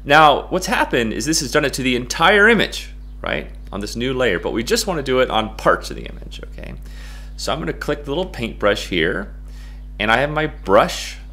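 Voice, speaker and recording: Playful American man with lively pitch swings. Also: moderate pace. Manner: playful, goofy, jokey. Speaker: photo-editing tutorial presenter. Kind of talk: casual software tutorial chat.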